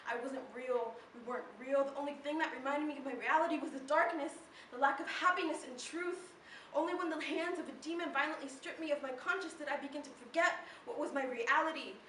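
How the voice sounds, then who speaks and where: Teen female slam poet, high voice, solemn measured phrasing, echoey live recording. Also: pushed and bright. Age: teenager. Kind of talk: slam poetry performance. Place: live poetry event, recorded from a distance. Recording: distant echoey room recording with noise.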